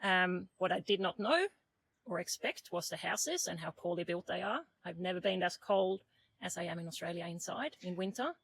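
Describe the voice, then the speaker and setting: A woman's voice: fairly low pitch, lively intonation, normal speed, gravelly, a bit bright, low-quality recording. A woman from southern Sweden answering interview questions conversationally, in a plain-spoken, emphatic way. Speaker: interview guest.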